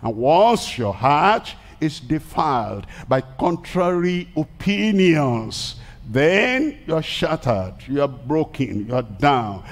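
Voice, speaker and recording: A man's voice: on the high side, big pitch swings, takes it slowly, hardly any pauses. An older man with a Nigerian accent preaching an emphatic crusade sermon into a headset mic on a large outdoor stage. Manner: emphatic preaching, rising to shouted declarations. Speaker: pastor.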